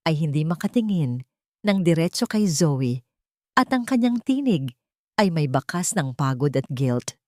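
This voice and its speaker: Low-voiced woman narrating with lively pitch. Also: dry recording, studio quality. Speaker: narrator of romantic drama stories. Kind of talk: scripted dramatic story narration.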